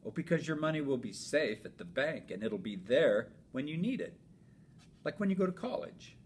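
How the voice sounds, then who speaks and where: A man's voice: sing-song, moderate pace, natural voice. A man reading aloud as a patient, reasonable father in a storybook, explaining things with upbeat emphasis. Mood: reassuring. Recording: noisy with low rumble.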